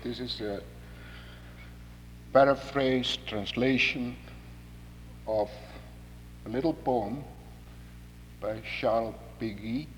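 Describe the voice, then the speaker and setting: A man's voice: medium pitch, slow pace, pauses often. Indonesian accent guy preaching, takes his time, big echoey hall w/ a faint hum. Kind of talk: sermon.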